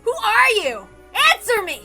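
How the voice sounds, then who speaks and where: Rattled young man, female performer: stammering, hot-tempered, sharp voice that jumps around in pitch. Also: big pitch swings, measured pace, soft background music, exaggerated voice. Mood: angry, panicked. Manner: stammering, urgent.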